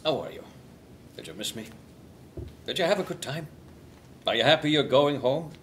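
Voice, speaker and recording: A man playing a terse, sardonic space crew leader in a comic screenplay table read, often deadpan. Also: on the high side, moderate pace. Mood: dry sarcasm. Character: dry, commanding male space crew leader. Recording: table read with background noise.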